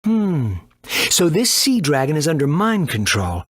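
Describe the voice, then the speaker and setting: Eccentric, swooping-voiced husky scientist. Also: male voice, on the high side, big pitch swings, a little slow, no room sound, clean studio sound. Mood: quirky, helpful. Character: eccentric male top researcher at a laboratory. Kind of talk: video game voice acting.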